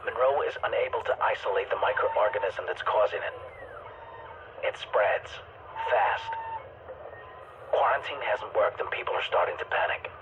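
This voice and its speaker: An actor playing a starship physician who records formal, measured medical logs in a video game, through a radio-style filter. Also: male voice, on the low side, wildly swooping pitch. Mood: grave, dread. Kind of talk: scripted in-game audio log. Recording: muffled radio-like log, clearly noisy.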